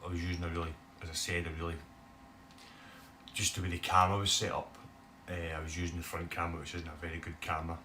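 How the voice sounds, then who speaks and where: A low-pitched man with a broad Scottish accent chats to a phone camera. His talk rambles along with frequent "eh" fillers and has a bright, forceful edge. The phone sits a little away from him, and faint room noise runs underneath. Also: recorded from a distance. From Scotland. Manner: chatty, rambling, many "eh" fillers. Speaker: bass guitar YouTuber.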